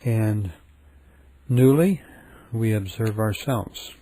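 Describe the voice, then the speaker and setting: A man leading a guided meditation in a gentle, calm, unhurried manner with frequent pauses. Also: on the low side, no echo.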